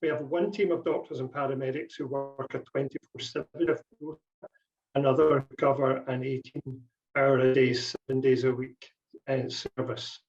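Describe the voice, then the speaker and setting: A man's voice: mid-range voice, little pitch movement, moderate pace, a little mellow. A man with a Scottish accent speaking earnestly in a webinar about charity fundraising, with frequent ums and repeated words. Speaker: charity fundraising director on a panel. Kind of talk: prepared webinar presentation. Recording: dull sound from a distant mic.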